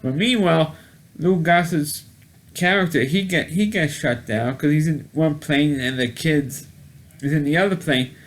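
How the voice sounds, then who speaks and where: A man talks through a movie review in a loose, rambling way, doubling back on his words and starting sentences over. His voice sits a little high for a man, and he is blunt, with the odd mild curse. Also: measured pace. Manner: rambling, with many restarts and repeated words; blunt and mildly profane. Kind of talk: casual spoken movie review.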